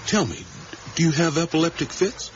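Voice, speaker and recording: A man's voice: a little high, expressive, moderate pace, lightly acted. An actor playing a curious, courteous male traveller in a radio drama, asking polite, probing questions and listening attentively. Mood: intrigued. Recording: muffled, noisy 1980s radio recording.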